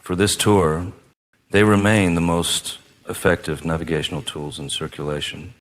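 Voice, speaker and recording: A man's voice: low-pitched, level tone, moderate pace. A man playing a deranged, world-weary gonzo journalist, ominous and darkly sardonic, in a comic radio play. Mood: frayed, sardonic. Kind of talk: comedic radio play monologue.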